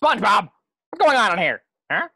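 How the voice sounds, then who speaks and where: A man's voice: high voice, big pitch swings, a little fast, vocal fry, sharp tone, breathy edge, heavily acted. A man playing a snide, haughty squid cashier in a cartoon table reading, sarcastic and needling. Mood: smug, needling.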